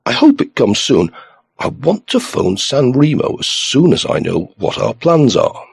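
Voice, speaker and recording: A man voicing a steady male police inspector in an audiobook, polite and businesslike. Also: mid-range voice, measured pace, gravelly, husky, no room sound, voice pushed up, heavily acted.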